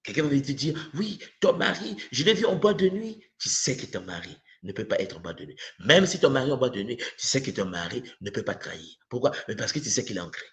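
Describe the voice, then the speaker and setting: A man with a Congolese accent teaches spiritual ideas to an online audience. His pitch moves widely and expressively as he repeats key phrases and puts questions to his listeners in an impassioned way. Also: expressive, fast talker, hardly any pauses. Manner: rhetorical questions, acts out imagined dialogue, repeats for emphasis.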